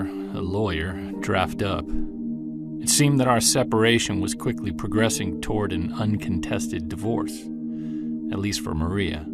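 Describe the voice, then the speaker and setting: An actor playing a wistful separated man who hunts Bigfoot, narrating his audio-drama field journal in a creaky, conversational, earnest voice. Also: fairly low pitch, close to an everyday voice.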